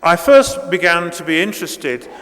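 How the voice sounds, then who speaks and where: A man's voice: sits high, sing-song, moderate pace, fairly bright tone. A man in his sixties, a native Italian speaker from Italy, giving an academic keynote lecture with many ums and restarts. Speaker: guest lecturer. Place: lecture hall. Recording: long reverb in a lecture hall.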